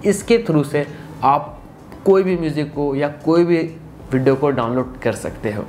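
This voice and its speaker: Male tutorial host, twenties, slightly high pitch, casual teaching style, some background noise. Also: moderate pace. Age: 20s. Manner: instructional, repeats stock phrases. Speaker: tutorial presenter. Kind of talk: casual phone-app tutorial. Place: small indoor room.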